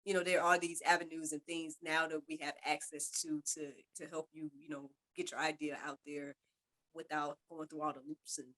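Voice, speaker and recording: A woman's voice: deep voice, little pitch movement, normal speed, a bit bright, low-quality recording. A woman podcast host chatting casually in an interview, with an informal, rambling way of talking. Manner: informal, rambling, repeats "you know".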